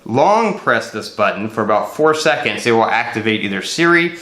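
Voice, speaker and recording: A man in his forties giving a casual, unscripted product comparison as a reviewer, explaining conversationally and haltingly, with repeated words. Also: fairly low pitch, animated, bright, forceful tone. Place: medium furnished room indoors. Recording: roomy indoor echo.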